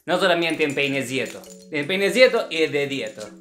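A man's voice: fairly high pitch, lively intonation, normal speed, fairly bright tone. A man playing a strict, blunt, theatrical Russian ballet teacher in a comic commentary, with a Russian accent and broken grammar. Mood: instructive. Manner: broken Spanish with infinitive verbs, chanted counting syllables. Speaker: ballet teacher reviewing dancers' videos. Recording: roomy echo with faint music behind.

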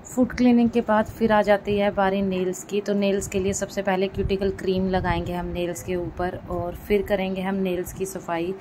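A woman's voice: mid-range voice, little pitch movement, normal speed, hardly any pauses, over music, rough recording. A woman giving a casual step-by-step vlog voice-over, explaining and rephrasing her points as she goes. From India. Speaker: vlogger.

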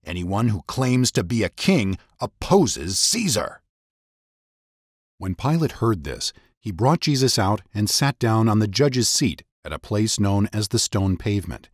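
A man narrating scripture dramatically, in a solemn and deliberate storytelling manner. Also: lowish voice, breathy edge, dry recording, studio quality.

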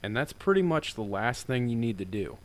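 A man with a deeper voice talking through a software tutorial in a loose, rambling way, full of fillers. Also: sing-song, brisk. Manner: rambling and informal, with many fillers. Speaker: tutorial maker. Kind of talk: casual screen-recorded software tutorial.

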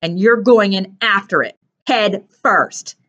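A woman's voice: mid-range voice, animated, brisk, bright, forceful tone. Woman as confident teenage girl, blunt, tough, self-assured, audiobook character, slightly muffled recording. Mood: threatening.

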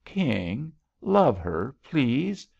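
A man voicing a spirited, excitable young woman swimmer in a story reading, on a muffled recording. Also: mid-range voice, extremely slow, creaky, husky, voice pushed up, exaggerated voice. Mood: tender.

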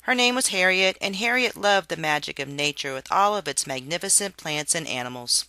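A storybook reader giving an expressive read-aloud narration for children. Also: mid-range voice, fairly quick, no room sound, poor audio.

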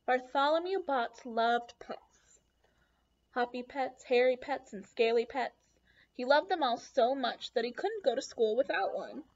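Young woman, high-pitched voice, expressive storybook reading, chatty asides, dull lo-fi home recording. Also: a little slow. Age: young adult. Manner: expressive, addresses young listeners with questions. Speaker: picture book read-aloud host. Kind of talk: children's storybook read-aloud with asides. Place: small room at home, indoors. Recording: dull lo-fi webcam sound.

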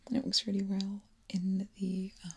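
A young woman whispering and softly singing lullabies as an ASMR host, recorded close on a binaural mic in a small room. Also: medium pitch, monotone, moderate pace, breathy edge. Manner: soft whispering, sings lullabies quietly.

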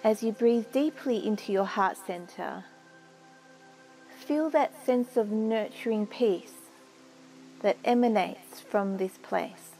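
A woman narrating a guided meditation in a soothing, measured way with long pauses. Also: medium pitch, vocal fry, mellow, poor audio. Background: music.

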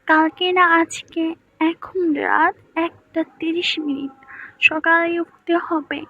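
A woman's voice: very high voice, steady tone, takes it slowly, dry recording, narrow, tinny audio. A woman playing a blunt, bossy young newlywed wife in an audio romance story, with a brusque, scolding way of talking. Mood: curt, matter-of-fact. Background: music.

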